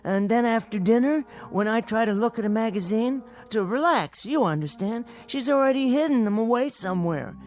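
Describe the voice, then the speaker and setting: An American actor playing a meek, henpecked, middle-aged bank teller in a radio drama, hesitant and apologetic in manner. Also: male voice, very high-pitched, lively intonation, moderate pace, hardly any pauses, darkish tone, faint music behind. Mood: flustered, pleading.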